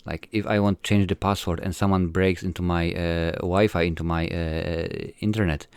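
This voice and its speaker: A man's voice: sits low, steady tone, moderate pace, clear, resonant tone, no room sound. A man in his forties from Poland with a Polish accent, a filmmaker answering interview questions in a hesitant way, with many fillers and restarts. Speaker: filmmaker guest.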